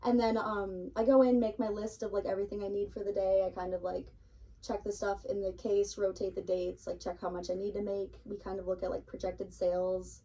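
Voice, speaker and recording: Young woman just chatting on a podcast, kinda husky n mellow, says like a lot, bit far from the mic. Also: mid-range voice, little pitch movement, a little fast. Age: young adult. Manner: chatty, informal, frequent "like" filler. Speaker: cake decorator being interviewed. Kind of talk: casual podcast chat.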